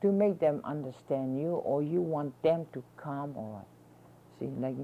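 A woman's voice: sits low, measured pace. An older woman, answering interview questions and explaining things with a rambling "you see.". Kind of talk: oral history conversation. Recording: dull lo-fi recording with faint noise.

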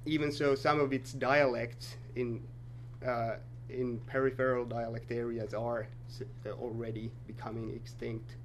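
Male academic presenter, North Sami first language, mid-pitched, halting delivery, faint background hum. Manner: measured, hesitant, many filler pauses. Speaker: conference presenter. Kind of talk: academic conference talk.

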